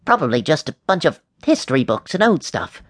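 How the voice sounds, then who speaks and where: A man's voice: fairly high pitch, very animated, brisk, a bit bright, no room sound, studio quality. A man voicing a shy, cautious, sensible schoolboy in a storybook, with a wary, reasoning manner. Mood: dismissive.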